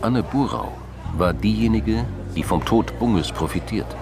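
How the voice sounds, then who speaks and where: A man's voice: fairly low pitch, measured pace, vocal fry, over music. A man reading scripted narration in a crime radio play.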